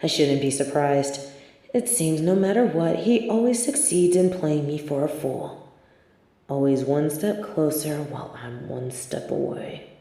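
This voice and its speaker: A sardonic female supervillain, acted by a woman in an intimate audio roleplay. She speaks slowly in a soft, dark, slightly low voice, weighing words with theatrical poise. Her scheming, wry manner carries a grudging streak of sympathy. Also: husky, exaggerated voice. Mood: bitter, muttering. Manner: theatrical, measured, wry, drops into muttered asides.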